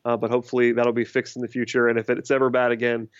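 Fast-talking man, dry dull podcast audio. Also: mid-range voice, completely flat delivery, clear, resonant tone, dry recording. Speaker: podcast host. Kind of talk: casual sports chat.

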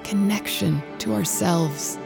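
A woman playing an enigmatic tech company chief, delivering a measured, assured promotional speech in an audio drama. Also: medium pitch, gravelly, music in the background. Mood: assured, inviting. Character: polished, enigmatic female tech CEO pitching her company. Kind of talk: scripted promotional keynote narration.

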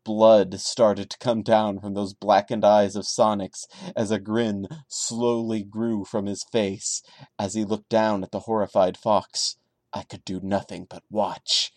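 A man with a fairly low voice reads a creepy story aloud in a theatrical style. He slips into sarcastic, disbelieving commentary as a podcast host would. The recording is close and dry, with little sense of a room. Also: a little mellow, no echo. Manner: theatrical narration broken by incredulous, sarcastic asides. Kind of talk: dramatic reading with mocking commentary.

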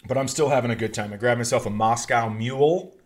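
A middle-aged man with an average-pitched voice tells anecdotes as a comedian would on a podcast. He talks casually and rambles, swearing freely as he goes. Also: fast talker. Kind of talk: casual comic storytelling.

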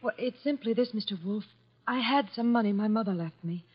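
A woman's voice: mid-range voice, a little fast, slightly rough, close to an everyday voice. An actress playing a distressed, pleading young woman client in 1950s radio drama, earnest and emotional. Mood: worried. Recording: muffled vintage 1950s radio recording.

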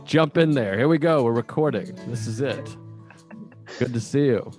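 A man's voice: mid-range voice, animated, normal speed, a little mellow, soft background music. An American man from Massachusetts hosting a casual interview podcast, talking in an informal, self-deprecating, rambling way. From Massachusetts, United States.

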